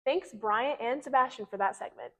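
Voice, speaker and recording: A woman's voice: on the high side, normal speed, a bit bright. A teenage girl, a senior hosting a student show, reading upbeat scripted links. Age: teens.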